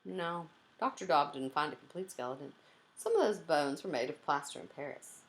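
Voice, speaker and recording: Young female tour guide read by a woman narrator: polite, deliberate, informative, lower register, storybook style. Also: animated, low-quality recording. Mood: brisk, informative. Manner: clear, deliberate, instructive.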